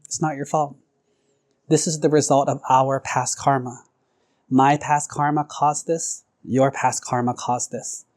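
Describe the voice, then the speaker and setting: Forgiving monk, told by a male storyteller, medium pitch, calm, measured, plain-spoken, religious tale. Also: natural voice. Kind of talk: acted quotes in a religious story.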